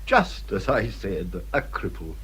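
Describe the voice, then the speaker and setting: A man's voice: low voice, very animated, slow pace, fairly bright tone, slight background noise, full character voice. An actor playing a haughty, snobbish older English father with pompous, drawling disdain in a period radio drama. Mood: scornful mockery.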